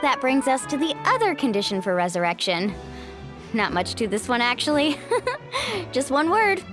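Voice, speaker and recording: A woman's voice: high voice, very animated, normal speed, music in the background. An actress playing a friendly, upbeat young woman in a video game, with a breezy, encouraging manner. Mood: earnest explaining.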